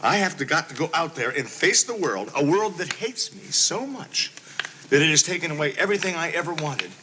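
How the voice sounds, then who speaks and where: An actor playing a self-pitying husband who swings from whining to shouting in a comedy scene. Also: male voice, on the high side, very animated, fairly quick, a little hiss or hum. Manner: melodramatic, whining then shouting. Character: self-pitying, explosive middle-aged husband.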